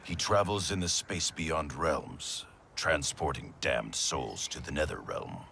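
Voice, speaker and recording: An actor playing a stern, grim warrior who speaks tersely and gravely in a video game cutscene. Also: male voice, rumbling low, very animated, takes it slowly, sharp tone, a little hiss or hum, exaggerated voice.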